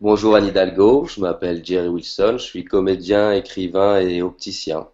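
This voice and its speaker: A man's voice: low-pitched, fairly even intonation, moderate pace, warm tone. A man who is an actor and writer, introducing himself in a chatty way in a video chat. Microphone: video call. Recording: dull lo-fi video call audio.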